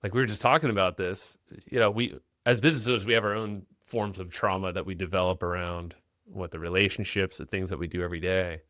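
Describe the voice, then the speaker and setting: A man's voice: sits low, steady tone, fast talker, fairly bright tone, no echo, studio quality. A man hosting a podcast interview, upbeat and chatty, often repeating words as he starts sentences. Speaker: podcast host. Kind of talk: casual podcast interview with ad read.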